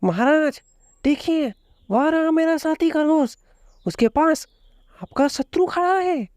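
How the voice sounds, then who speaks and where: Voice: sits high, expressive, slow pace, a little mellow, no room sound, studio quality, pitched above the performer's own voice. A storyteller voicing a small clever rabbit, humble and pleading, in a children's storybook tale. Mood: earnest, cunning. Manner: pleading, deferential.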